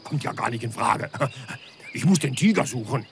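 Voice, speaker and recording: A man's voice: mid-range voice, lively intonation, normal speed, noisy background, lightly acted. A man voicing an earnest, loyal little bear in a children's audio play. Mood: insistent.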